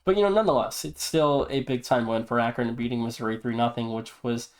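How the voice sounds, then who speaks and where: Male sports podcast host, medium pitch, emphatic delivery, casual news and commentary. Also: quick pace. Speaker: podcast host and league presenter.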